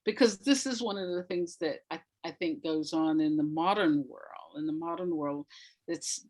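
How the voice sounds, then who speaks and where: A woman's voice: deep voice, normal speed, a little mellow. A woman speaking as a playwright guest in a thoughtful interview, reflective and repeating words for emphasis.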